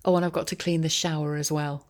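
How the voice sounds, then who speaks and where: A woman with a slightly low voice shares a personal update in a chatty, informal way. She wanders off her point and pokes gentle fun at herself, with a wry, ironic touch. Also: little pitch movement, quick pace. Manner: rambling, self-deprecating asides. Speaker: voiceover artist and career coach sharing a personal update. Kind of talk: casual, chatty monologue.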